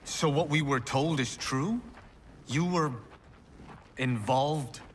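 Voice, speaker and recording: A slow male voice over faint ambience. an earnest young fighting monk in a video game, respectful and troubled, with halting phrases. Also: a little high, slight background noise. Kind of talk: video game cutscene acting.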